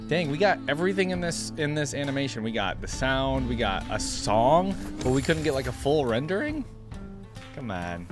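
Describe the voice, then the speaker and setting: A man's voice: medium pitch, sing-song, moderate pace, music in the background. A man giving casual reaction commentary as the host of a video.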